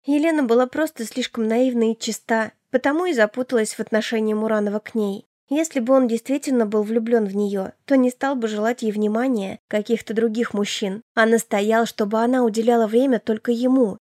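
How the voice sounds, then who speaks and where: A woman's voice: on the high side, steady tone, brisk, hardly any pauses, dark tone, no echo, studio quality. A woman reading first-person fiction as a scripted audiobook narration.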